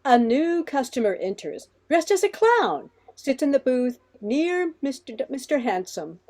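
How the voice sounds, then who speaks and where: A woman's voice: high-pitched, very animated, measured pace, a little mellow. A woman narrating a radio play from a script.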